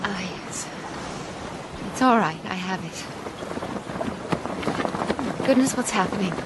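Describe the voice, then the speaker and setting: An actress playing a spirited, determined young castaway woman in a radio drama, speaking politely in lively old-fashioned phrasing. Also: mid-range voice, takes it slowly, close to an everyday voice. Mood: flustered. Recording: noisy boat and water ambience behind.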